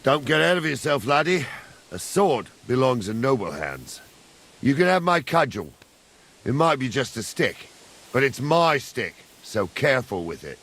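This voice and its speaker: Middle-aged guard captain, gruff, fatherly, husky, lively intonation, medieval game. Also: medium pitch, moderate pace. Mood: commanding. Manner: guarded, plain-spoken, commanding. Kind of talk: video game dialogue acting.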